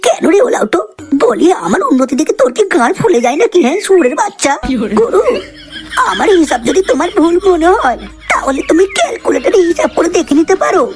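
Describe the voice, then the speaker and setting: A woman voicing a clever, sharp-tongued, foul-mouthed girl sidekick in a comic cartoon. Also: very high-pitched, sing-song, fast, faint music behind. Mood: insulting. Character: sharp-tongued, clever, loyal young female gang sidekick.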